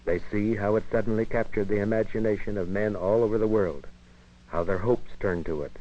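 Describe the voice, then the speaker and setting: An American man narrating a 1950s educational film in a measured, formal, literary manner. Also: fairly low pitch. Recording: dull 1958 film soundtrack, radio-like.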